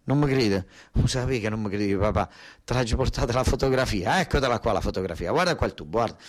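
A man's voice: on the low side, expressive, quick pace, lightly acted. An actor playing an agitated southern Italian son, a worker from Basilicata pleading with his father in a theatrical dialect monologue, repeating himself and stumbling over words. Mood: insistent, alarmed.

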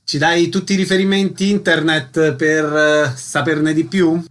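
A man's voice: a little high, little pitch movement, measured pace, a bit bright. A man presenting on the radio as host.

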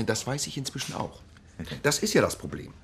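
An actor playing a dry, grumbling junior police detective in a radio play. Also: male voice, medium pitch, sing-song, brisk, slight background noise.

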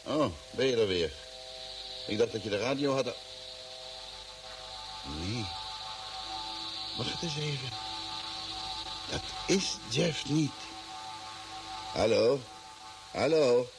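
An actor playing a bewildered, agitated astronaut lost on a strange planet, halting and questioning, in a Dutch radio drama. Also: male voice, mid-range voice, expressive, over music, rough recording. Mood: puzzled, uneasy.

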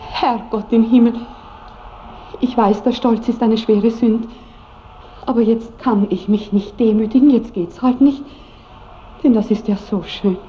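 A woman's voice: a little high, steady tone, unhurried, pauses often, close to an everyday voice. A woman playing an innocent, very young noble bride in a radio play, girlish and fervent. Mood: overwhelmed pride. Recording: muffled, echoey 1960s radio with noise.

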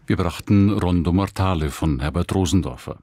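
Deep-voiced male radio announcer, dry sound. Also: moderate pace, dark tone, husky, dry recording. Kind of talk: formal scripted cast credits.

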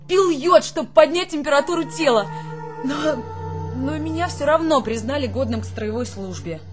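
A woman playing a sensitive, artistic young army conscript who has turned into a girl, earnest and plaintive in a radio drama. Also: close to an everyday voice. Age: young adult. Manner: earnest, plaintive, high-flown intellectual speech.

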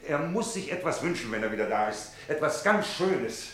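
An actor in a German radio play voicing an anxious, tender middle-aged father, speaking in a halting, earnest, pensive way. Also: fairly high pitch, animated, fairly bright tone, roomy sound.

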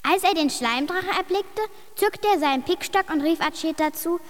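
A woman's voice: very high-pitched, moderate pace, big-room sound, slight background noise. A woman playing a plucky, imaginative young girl who reads her adventure story earnestly and emphatically in a German radio drama. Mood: excited.

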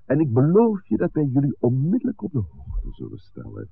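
An actor playing a courteous, earnest village priest in a 1960s radio drama, speaking in formal, slightly flowery sentences. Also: male voice, mid-range voice, huge pitch swings, a little fast, creaky, breathy edge, exaggerated voice. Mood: earnest, calm. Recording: muffled old radio recording.

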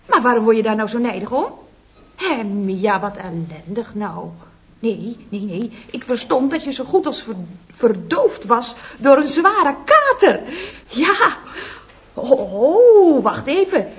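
A woman's voice: a little high, a bit bright, exaggerated voice. A woman playing a chatty, cheerful office secretary in a radio comedy, talking on the phone and with her boss. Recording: lo-fi old radio recording.

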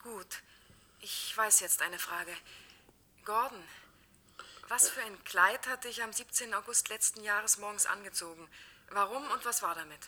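A tense, anxious wife. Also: female voice, medium pitch, bright, forceful tone. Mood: testing. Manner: halting, earnest, insistent. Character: anxious, determined wife of a simulated man. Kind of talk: German radio play acting.

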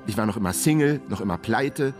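A man's voice: lowish voice, expressive, brisk, faint music behind, close to an everyday voice. An actor playing a wry, broke ex-detective in his forties, narrating a radio crime drama in a laconic, self-mocking way. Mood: wary. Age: 40s.

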